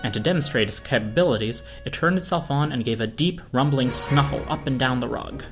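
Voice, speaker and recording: A man's voice: mid-range voice, fairly quick, over music. A man reading a scripted story aloud as narrator. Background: orchestral film score.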